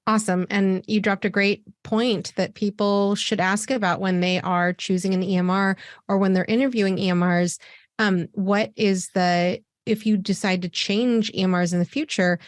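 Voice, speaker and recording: A woman's voice: on the low side, no room sound, clean studio sound. A woman podcast host who affirms her guest and asks long, multi-part questions. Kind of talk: interview questions.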